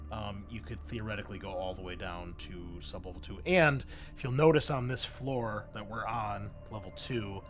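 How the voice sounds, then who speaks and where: A man with a fairly low voice works as game master of a tabletop roleplaying game. He explains the options in a conversational, step-by-step way, with music playing behind him. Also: a bit bright.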